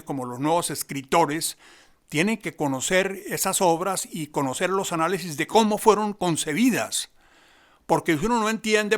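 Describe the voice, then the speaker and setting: A man's voice: on the high side, a bit bright. A man giving a reflective spoken analysis in a didactic, emphatic way. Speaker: interviewed theatre expert.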